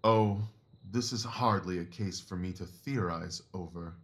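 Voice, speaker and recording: Man acting as Victorian master detective, lowish voice, assured, precise, analytical, instructive, audio drama. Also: a little slow, fairly bright tone. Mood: cool, courteous. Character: brisk, confident, analytical male Victorian detective.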